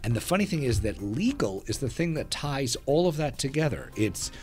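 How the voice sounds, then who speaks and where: A man's voice: medium pitch, very animated, normal speed, a little mellow, faint music behind. A man co-hosting a podcast, talking casually and reflectively, with a lot of "uh" and "you know". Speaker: podcast co-host.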